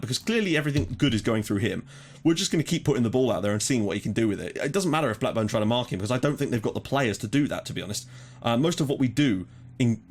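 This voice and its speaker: Fast-talking guy doing lets play commentary, gets excited at goals. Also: medium pitch. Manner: chatty, excitable during goals. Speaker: let's play host. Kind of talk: casual game commentary.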